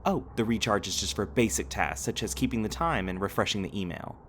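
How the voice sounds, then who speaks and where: A man's voice: on the low side, brisk, a bit bright, slight background noise, natural voice. A man playing a cheerful, literal-minded robot companion in a scripted sci-fi roleplay, speaking in formal, precise, over-explaining sentences. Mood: cheerful, helpful.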